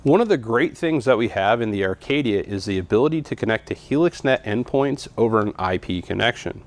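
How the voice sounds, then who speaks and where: A man's voice: fairly low pitch, expressive, a little fast, no echo. A man giving a scripted, methodical product walkthrough in an instructional way, as a trainer would.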